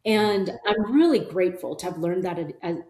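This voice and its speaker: Earnest woman with a lowish voice. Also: expressive, a little mellow. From South Korea, raised in Arkansas. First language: Korean. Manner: earnest, measured, affirms often with "yeah". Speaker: company CEO, podcast guest. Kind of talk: reflective conversational interview. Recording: roomy echo.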